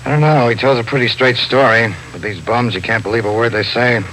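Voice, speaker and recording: An actor playing a gruff, skeptical police officer in a 1950s radio drama, curt and world-weary. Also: male voice, mid-range voice, fairly even intonation, brisk, fairly bright tone, lightly acted, low-quality recording. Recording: hissy, clicking 1950s radio broadcast recording.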